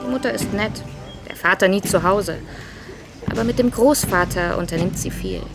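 A frank, chatty woman played by an actress with a mid-pitched voice talks informally, as to a close friend. Music plays clearly behind this radio play dialogue. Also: moderate pace, lightly acted. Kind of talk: conversational radio drama acting.

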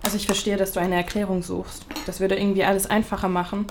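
A young actress playing a pragmatic, sceptical best friend in a naturalistic audio drama, speaking casually. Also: female voice, mid-range voice, little pitch movement, fast talker, natural voice. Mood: gently sceptical. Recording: clear background ambience noise.

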